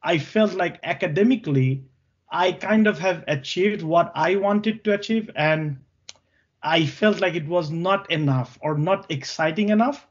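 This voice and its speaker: Higher-pitched Bangladeshi man, slightly dull recording. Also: unhurried. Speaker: podcast guest, tech co-founder. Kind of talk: interview answers, recounting career.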